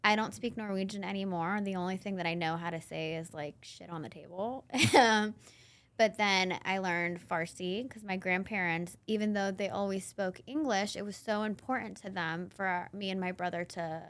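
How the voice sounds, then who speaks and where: A woman in her thirties from Northern Virginia, a podcast guest telling her story in a chatty, animated way and laughing often. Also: medium pitch, normal speed. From Northern Virginia, USA. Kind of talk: casual interview chat.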